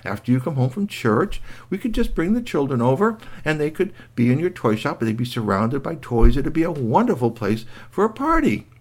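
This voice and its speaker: A man's voice: fairly high pitch, sing-song, a little fast, few pauses, voice pushed up. An eager, gushing woman doctor, voiced by a man telling a story chatters in a raised, swinging voice. She keeps talking, sweet and persuasive.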